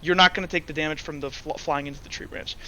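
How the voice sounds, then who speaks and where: A man's voice: a little high, steady tone, fast talker, sharp tone. A man acting as game master, narrating a tabletop roleplaying game in an informal, hesitant, wordy way.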